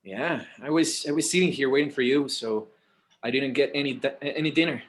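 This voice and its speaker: A man's voice: medium pitch, brisk, natural voice. A man acting a casual, hesitant adult son in a conversational screenplay table read. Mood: hesitant, placating.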